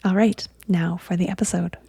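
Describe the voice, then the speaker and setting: A woman's voice: on the low side, fairly even intonation, moderate pace, creaky, mellow, slightly rough, no echo. A woman hosting a podcast, introducing her guest with enthusiastic, affirming talk. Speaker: podcast host. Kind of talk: podcast interview.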